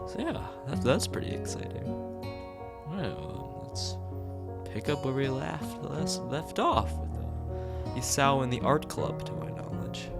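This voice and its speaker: A man's voice: medium pitch, very animated, measured pace, vocal fry. A man hosting a game playthrough, reading visual novel narration aloud in an informal way and sometimes stumbling over lines and rereading them. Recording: music playing behind the voice. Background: plucked guitar game music.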